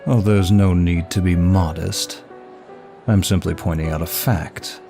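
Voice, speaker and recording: A very low-voiced demon of lust acted by a man speaks slowly and smoothly over clear background music. He is courtly and flirtatious, paying compliments as if in a private audio roleplay. Every phrase is polite, measured and seductive. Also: fairly even intonation, warm tone. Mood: charming, admiring. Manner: smooth, flirtatious, formal, unhurried. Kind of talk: intimate ASMR-style roleplay, one side of a dialogue.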